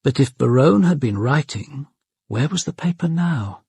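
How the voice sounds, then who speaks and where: Serious detective in a radio mystery, really raspy w some fry, careful observant delivery. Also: male voice, mid-range voice, lively intonation, warm tone, no echo, clean studio sound, heavily acted. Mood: grave, methodical. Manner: grave, deliberate, precise. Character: measured, observant male police detective narrating a murder investigation. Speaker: detective narrator. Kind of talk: radio crime drama narration and dialogue.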